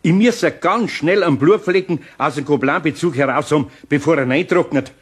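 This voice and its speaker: A man playing a good-natured, grumbling older master carpenter with a husky voice and a Bavarian accent, muttering and flustered, in a children's radio play. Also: a little high, fairly quick. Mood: flustered, pleading.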